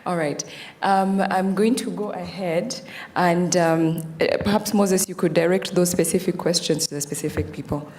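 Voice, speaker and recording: A woman chairs a live conference session in a slightly low voice. She manages the floor politely and placates the people who want to speak. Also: fairly even intonation, moderate pace, a little mellow, slight background noise. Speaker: conference moderator. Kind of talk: live event moderating.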